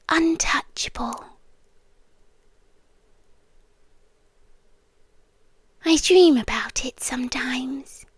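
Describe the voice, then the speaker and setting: Woman doing a british yandere demon girlfriend asmr, high breathy whispery voice, really slow, possessive n creepy sweet. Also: no echo, heavily acted. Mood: wistful, pleading. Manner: breathy whispering, halting, drawn-out delivery. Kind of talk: ASMR roleplay. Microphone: close, dry ASMR microphone.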